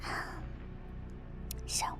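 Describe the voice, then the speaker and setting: Female ASMR streamer, slow whispery delivery, gain-boosted close mic, soft and quiet host chat over music. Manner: soft, hushed, switching between whisper and quiet speech. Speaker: livestream host. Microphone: very close microphone with gain turned up, mouth noises.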